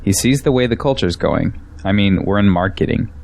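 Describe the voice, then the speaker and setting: A man's voice: lowish voice, lively intonation, moderate pace, vocal fry, darkish tone, slight background noise. A young man playing a pragmatic, defensive arguer in an audio drama, with an argumentative delivery. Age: young adult.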